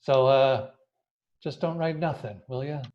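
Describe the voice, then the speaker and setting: An actor playing a tough, touchy jailed gangster in a radio drama, slangy, defensive and volatile, over dull lo-fi audio. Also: male voice, medium pitch, unhurried, natural voice. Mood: backpedaling. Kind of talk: old-time radio drama acting. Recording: dull lo-fi live-stream audio.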